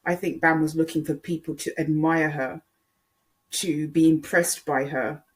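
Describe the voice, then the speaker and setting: Casual online commentator, mid-pitched, rambling and hesitant delivery with emphatic repetition. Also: steady tone, measured pace. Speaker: online video creator.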